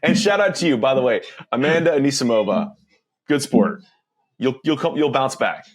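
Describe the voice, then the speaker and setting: Animated man with swooping pitch. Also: normal speed, a crowd in the background. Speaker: podcast co-host. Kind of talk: casual banter.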